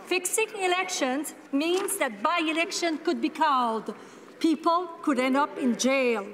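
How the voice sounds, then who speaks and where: A woman's voice: sits high, measured pace, a bit bright, slight background noise. A woman giving a parliamentary speech as a politician. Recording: reverberant hall.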